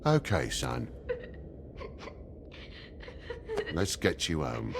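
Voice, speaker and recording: A man's voice: a bass voice, wildly swooping pitch, a little slow, vocal fry, slight background noise, exaggerated voice. A man voicing a stern, anxious, protective father toad in a video game, given to scolding. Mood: gentle. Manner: scolding, exasperated.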